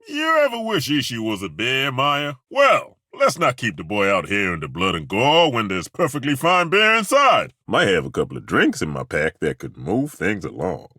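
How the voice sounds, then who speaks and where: An actor playing a gruff, weary dwarf warrior in a video game, grumbling with crude, wry humor. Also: male voice, deep voice, pushed and bright, no room sound, studio quality, full character voice. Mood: dry.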